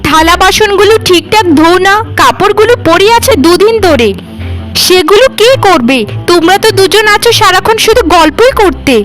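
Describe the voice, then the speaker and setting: A woman's voice: very high-pitched, lively intonation, normal speed, fairly bright tone, low-quality recording. A woman voicing a spoiled, suspicious young sister-in-law in a village cartoon, scolding and demanding in manner. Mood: bossy scolding. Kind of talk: village moral-story cartoon acting. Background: background music.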